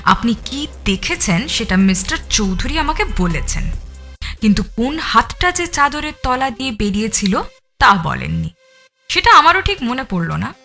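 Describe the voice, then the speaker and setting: An actress playing a cold, scheming murderous wife in an audio thriller, composed, menacing and contemptuous. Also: female voice, on the high side, big pitch swings, normal speed, gravelly, sharp tone, soft background music, heavily acted. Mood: calm, calculating. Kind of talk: dramatised audio thriller acting.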